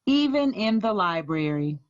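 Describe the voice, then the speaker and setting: A woman school librarian reading aloud and giving instructions to children in a deliberate, teacherly way. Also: mid-range voice, sing-song, muffled recording. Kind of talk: storybook read-aloud and activity instructions.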